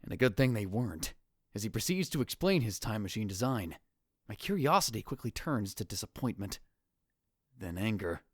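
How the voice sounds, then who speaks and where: A man's voice: fairly low pitch, very animated, moderate pace, breathy edge, no echo, clean studio sound. A man playing a theatrical, hot-headed young self-styled mad scientist in an anime visual novel dub, with grandiose delivery. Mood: brooding. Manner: dramatic, grandiose outbursts. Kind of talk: anime visual novel fan dub, first-person narration.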